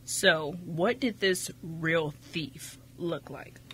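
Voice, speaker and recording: A skeptical policeman , acted by a man with a bright, sharp, fairly high voice. He questions formally and evenly in an amateur radio play, with clear noise on the recording. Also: very slow. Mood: skeptical, procedural. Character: skeptical male police officer questioning a suspect. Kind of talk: amateur radio drama acting.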